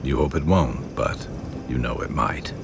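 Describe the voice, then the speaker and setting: Very low-voiced fantasy game companion: seasoned sailor, musing and plain-spoken, over noticeable background noise. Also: male voice, a little slow. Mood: reflective. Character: weary, reflective seafaring companion man in a fantasy game. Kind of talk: video game dialogue acting.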